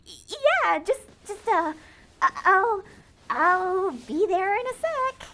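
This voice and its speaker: A woman's voice: very high voice, lively intonation, slow pace, sharp tone, slight background noise, full character voice. A young woman playing a flustered, anxious, awkward girl in an audio drama, stammering and hesitant.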